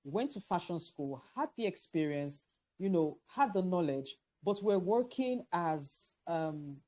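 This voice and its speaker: A woman's voice: lowish voice, lively intonation, measured pace, dark tone. A woman hosting an interview over a video call, asking questions with frequent stammered restarts. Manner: repeats words, stammering starts. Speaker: interviewer, host.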